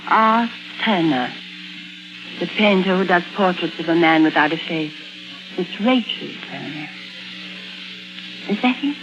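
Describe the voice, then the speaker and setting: A woman's voice: fairly low pitch, lively intonation, unhurried, long pauses. An actress playing a troubled woman painter with amnesia, brooding and intense, in 1950s radio drama style. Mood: suspicious, insistent. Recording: dull vintage 1950s radio recording. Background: dramatic music.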